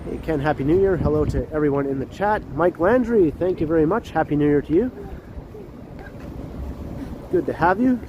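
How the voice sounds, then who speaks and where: A man's voice: a little high, animated, moderate pace, long pauses, lo-fi sound. A man with a stuffy nose from a cold, chatting and greeting viewers by name while he walks a city street on a livestream. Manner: chatty, laughs often, greets chat readers by name. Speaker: livestream host. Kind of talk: casual walking livestream chat. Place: city street outdoors at night, with traffic. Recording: outdoor street noise.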